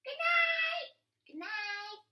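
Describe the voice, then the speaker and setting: A woman's voice: extremely high pitch, animated, drawn-out words, bright, forceful tone, pitched above the performer's own voice, exaggerated voice. A woman voicing an eager, naive, childlike puppet assistant in a children's read-aloud. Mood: playful. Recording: echoey room.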